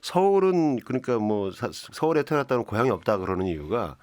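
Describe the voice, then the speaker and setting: A man's voice: medium pitch, very animated, fairly quick, darkish tone, no echo. A man from Seoul discussing things conversationally as a guest in a radio studio. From Seoul, South Korea. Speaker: guest, humanities organisation director.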